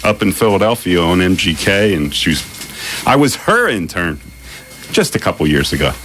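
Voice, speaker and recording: Laid-back male radio DJ, low voice, casual studio chat. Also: very animated, normal speed, fairly bright tone, slight background noise. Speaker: radio DJ guest.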